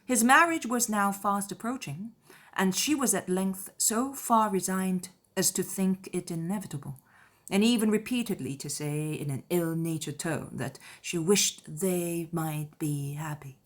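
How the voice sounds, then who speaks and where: A woman narrating a literary audiobook in a measured, expressive storytelling manner. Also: lowish voice.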